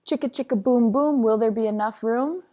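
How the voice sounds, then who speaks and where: A woman's voice: fairly high pitch, a little fast, warm tone. A woman reading a picture book aloud like a teacher, with a sing-songy, playful, dramatic delivery and chatty asides.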